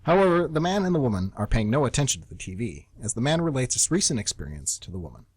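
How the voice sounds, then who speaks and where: A man's voice: mid-range voice, very animated, quick pace, breathy edge. A man narrating a radio play, reading scripted stage directions.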